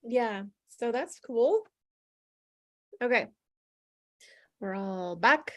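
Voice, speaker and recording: A woman with a higher voice chats in a friendly, easy way over a video call. The sound is dry, with little room echo. She is hosting a script table read. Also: lively intonation, very slow. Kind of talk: casual chat and script reading.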